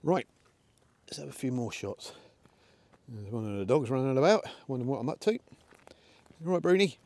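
A man's voice: medium pitch, lively intonation, moderate pace, long pauses, darkish tone, dry recording. A middle-aged man, chatting casually outdoors in a windy field while shooting an air rifle and talking to his dogs. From England. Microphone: camera on a tripod, then handheld.

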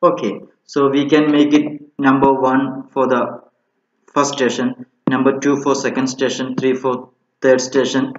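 A man with a Malayali Indian accent explaining a technical tutorial informally, step by step and haltingly, often saying okay, recorded indoors with a dull, roomy sound. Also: medium pitch, little pitch movement. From Kerala, India. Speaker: electronics installation instructor.

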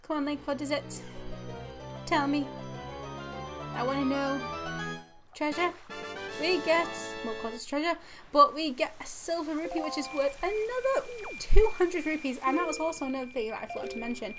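A woman's voice: high-pitched, expressive, moderate pace, over music. A young woman with a stuffy nose from a cold, giving rambling casual commentary over a video game, full of fillers and restarts. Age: young adult. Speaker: let's play gamer. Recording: audible background noise.

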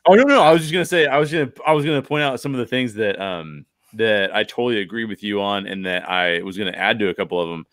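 A man's voice: lowish voice, fast talker, hardly any pauses, fairly bright tone, no room sound, clean studio sound. A middle-aged man hosting a podcast, talking casually and explaining things with emphasis. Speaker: podcast host. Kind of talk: casual film discussion. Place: small bedroom, indoors. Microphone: close podcast microphone with foam cover.

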